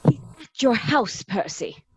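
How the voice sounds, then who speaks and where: A woman playing an urgent, loyal gentleman friend in an amateur radio play read, hurried and exclamatory. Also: fairly high pitch, big pitch swings, husky, exaggerated voice.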